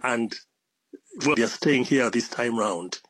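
A man's voice: medium pitch, a little slow, fairly bright tone, husky, no echo, rough recording. A man speaking as a reporter, hesitant and full of fillers.

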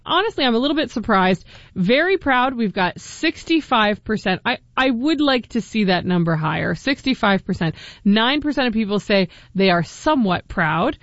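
A woman's voice: mid-range voice, no echo. A woman hosts a call-in radio show, talking casually and putting questions to listeners with plenty of fillers. Her voice sits mid-range, dry and close. The studio sound is slightly dull. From Canada. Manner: chatty, asks listeners questions, frequent fillers. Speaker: radio talk show host. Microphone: studio microphone.

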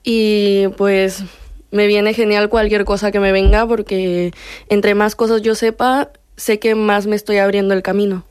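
A woman's voice: medium pitch, fairly even intonation, a little slow, darkish tone, smooth. A nineteen-year-old woman with a Mexican accent, talking casually about herself as an interview guest. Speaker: interview guest, youth program participant. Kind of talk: casual personal account in an interview.

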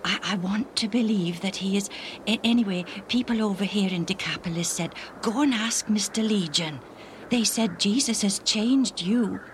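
A woman voicing a confused, anxious man who stammers in a hushed voice, in a story reading. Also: mid-range voice, normal speed, slight background noise, pitched above the performer's own voice, close to an everyday voice.

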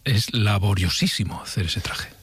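A male radio host with a strongly husky, breathy voice sitting low in pitch. He asks questions briskly and keeps up short acknowledgements, recorded dry and close. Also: no echo. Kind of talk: radio interview.

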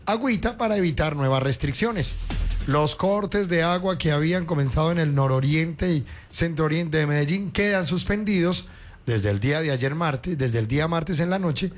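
A man's voice: on the high side, normal speed. A man in his fifties with a Paisa Colombian accent hosts radio news, moving between reading stories and colloquial chat. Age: 50s. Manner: conversational, colloquial with diminutives. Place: radio studio. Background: music under the voice.

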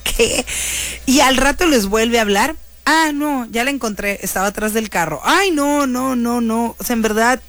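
A woman's voice: a little high, moderate pace, dry recording. A woman with a Mexican accent telling stories to an audience in a casual, chatty and slangy way, animatedly acting out what people said. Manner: animated, slangy, acts out quoted dialogue. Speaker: storyteller recounting true-crime cases.

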